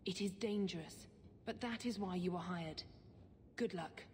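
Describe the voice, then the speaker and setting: An actress playing a stern, secretive, zealous church sister in a video game, speaking formally and gravely. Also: female voice, measured pace, natural voice. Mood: resolute. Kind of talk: video game dialogue acting. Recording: reverberant game audio with low rumble.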